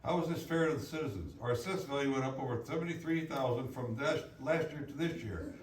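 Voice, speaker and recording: A man's voice: medium pitch, fairly quick. A man reading prepared written statements aloud at a public meeting. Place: indoor public hearing room. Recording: echoey meeting room, distant mic, faint noise.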